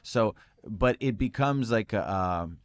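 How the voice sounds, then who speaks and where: A man telling stories as an interview guest, calm and even-toned, with many fillers and frequent laughs. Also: fairly low pitch, slow pace. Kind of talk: relaxed conversational storytelling.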